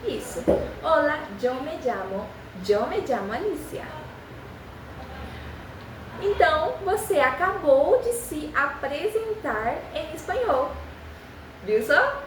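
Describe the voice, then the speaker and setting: A young woman who is a native Spanish speaker, speaking Portuguese with a Spanish accent and teaching beginners with an encouraging manner, repeating phrases slowly for practice. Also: high-pitched, very animated, roomy sound, far from the mic, background noise. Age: young adult. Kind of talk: beginner language lesson.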